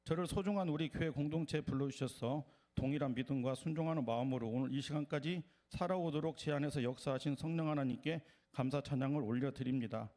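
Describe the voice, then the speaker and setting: A man with a mid-pitched voice giving a formal, prepared testimony as a seminary student. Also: quick pace, few pauses, mellow.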